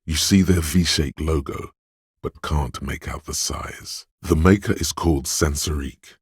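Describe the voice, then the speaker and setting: The watchful inner sense of sight in a video game, a calm male voice that notes every detail in a measured, matter-of-fact way. The voice sits extremely low and sounds very husky and rough. It is recorded dry and close in a studio. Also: no echo, full character voice. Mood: observant. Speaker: voice actor. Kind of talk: scripted video game voice line.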